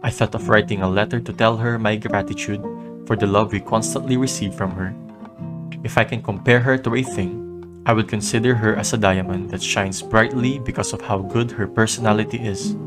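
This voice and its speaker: Male amateur radio DJ, lowish pitch, scripted letter reading, prominent guitar bed underneath. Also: steady tone, brisk. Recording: loud guitar music behind. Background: acoustic guitar instrumental.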